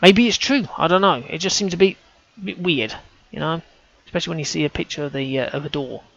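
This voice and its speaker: A man's voice: on the high side, quick pace, creaky, fairly bright tone, dull audio. A man talking through on-screen images, casual and hesitant, often restarting his sentences as he thinks aloud. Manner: hesitant, self-correcting, casual. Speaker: amateur researcher.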